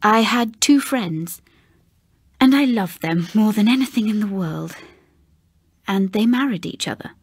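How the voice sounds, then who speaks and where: A woman acting as a middle-aged English housekeeper in an audiobook ghost story. She is proud and reserved, haunted by memory. Her breathy voice goes slowly and haltingly, with understatement, in a dry recording. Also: medium pitch, animated, dry recording. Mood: wistful, grave.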